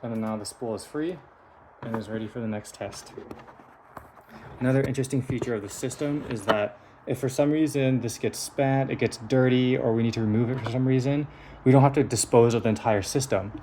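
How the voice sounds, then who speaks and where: A man's voice: mid-range voice, brisk, mellow, a little hiss or hum. A man giving a hands-on technical demonstration of a device in a methodical, explanatory manner. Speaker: engineer presenting a prototype device.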